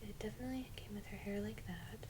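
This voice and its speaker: A woman doing soft-spoken ASMR in a breathy near-whisper, with a casual, gentle, musing manner. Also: medium pitch, quick pace, heavy background noise. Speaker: ASMR video host.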